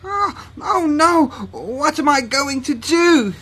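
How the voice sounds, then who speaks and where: A woman's voice: high-pitched, animated, extremely slow, a bit bright, exaggerated voice. A woman voicing a curious, anxious young male cat in a children's story, stammering and hesitant. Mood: worried, urgent.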